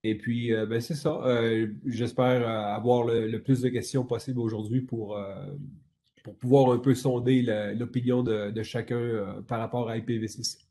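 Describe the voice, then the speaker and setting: Mellow, halting middle-aged man. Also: mid-range voice, flat delivery, a little hiss or hum. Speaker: panelist, senior technical director. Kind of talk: unscripted self-introduction on a panel. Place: video call from indoors. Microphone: video call.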